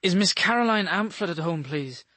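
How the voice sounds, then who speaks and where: An actor playing a smooth-talking, wheedling man in a radio drama. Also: high-pitched, lively intonation, normal speed, sharp tone, no echo, exaggerated voice. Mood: politely probing.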